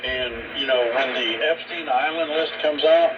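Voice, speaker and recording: A man's voice: mid-range voice, moderate pace, muffled recording. Distant man on very noisy shortwave radio. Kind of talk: political talk radio commentary.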